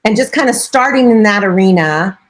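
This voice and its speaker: A woman's voice: mid-range voice, expressive, brisk. Chatty middle-aged woman broker. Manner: chatty and earnest, with frequent filler phrases. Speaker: real estate broker and coach. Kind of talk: casual interview answers.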